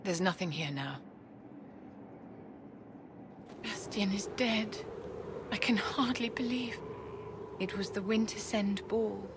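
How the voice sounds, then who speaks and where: An actress playing a poised, secretive court enchantress in a video game, formal and measured, with condescending courtesy. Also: female voice, medium pitch, background noise, natural voice. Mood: grieving. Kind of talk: video game cutscene acting.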